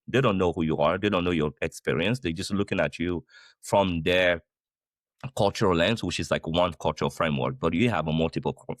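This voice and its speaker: Brief: man from Guinea with a very low voice, a guest in a dry, close recording, talking loosely and animatedly, full of "like" and rephrasing. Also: no room sound. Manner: animated, speaks with many "like" fillers and rephrasing. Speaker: podcast guest, company founder. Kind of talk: casual conversational interview. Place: the Netherlands.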